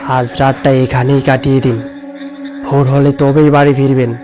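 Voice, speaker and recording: A man's voice: medium pitch, little pitch movement, normal speed, over music, natural voice. A man playing a concerned villager in a cartoon ghost story, politely giving ominous warnings. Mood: cautious, foreboding. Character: cautious, concerned male villager warning a traveller.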